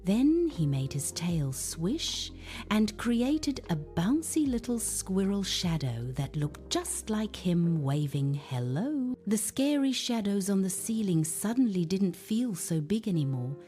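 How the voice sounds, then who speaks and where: Slow, mellow woman telling a bedtime story. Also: mid-range voice, sing-song. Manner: gentle, hushed, unhurried. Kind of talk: soothing scripted children's bedtime story narration. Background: music.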